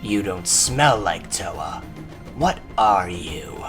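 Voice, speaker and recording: A cunning gang leader played by a man in a fantasy cartoon. His voice is fairly low, with dry sarcasm and condescension, and music plays clearly behind him. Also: lively intonation, very slow, full character voice. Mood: wary, sly.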